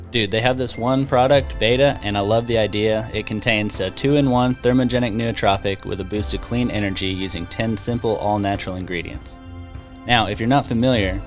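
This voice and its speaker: A man hosting a podcast in a casual, laid-back, slangy way, often saying bro. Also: on the low side. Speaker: podcast host.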